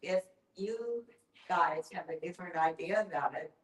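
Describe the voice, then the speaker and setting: A mature woman with a distinctly low voice speaks in an echoey room, a little away from the mic. She talks casually and bluntly, stating her views outright. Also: normal speed, recorded from a distance. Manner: blunt, opinionated. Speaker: discussion participant. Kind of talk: casual group discussion.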